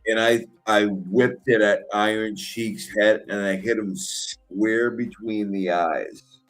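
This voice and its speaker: A man's voice: on the low side, fairly even intonation, slow, soft background music, rough recording. A man hosting a call-in show, telling a crude story in a rambling, casual way with lots of fillers.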